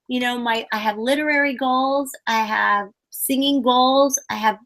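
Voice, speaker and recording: A woman's voice: a little high, steady tone, unhurried, fairly bright tone. A woman puppeteer giving advice in a casual interview, rambling with many fillers and restarts.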